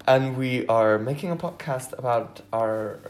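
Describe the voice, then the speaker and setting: A man from Belgium, not a native English speaker, co-hosting a casual podcast, hesitant with many ums and quick to laugh. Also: mid-range voice. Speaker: podcast co-host. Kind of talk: casual chat.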